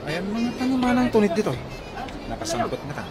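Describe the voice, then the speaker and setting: Radio comedy role: hurried Ilocano tricycle driver, pushy, quick-fire and clipped. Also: male voice, sits high, very animated, loud music behind the voice, a loud crowd. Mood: hustling.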